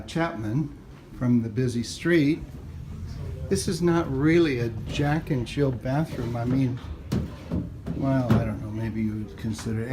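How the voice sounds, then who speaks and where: A middle-aged man thinking aloud as he walks through a house at an open house, filming with a handheld camera. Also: mid-range voice. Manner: musing, halting, thinking aloud. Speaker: house-hunting vlogger. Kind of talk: casual walkthrough commentary. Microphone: handheld camera mic. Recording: echoey rooms, background noise.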